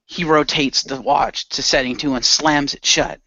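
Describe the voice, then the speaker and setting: A man's voice: a little high, animated, moderate pace, vocal fry, pushed and bright, no echo, lo-fi sound. A man narrating his own character's actions and speaking his lines casually in an improvised radio play.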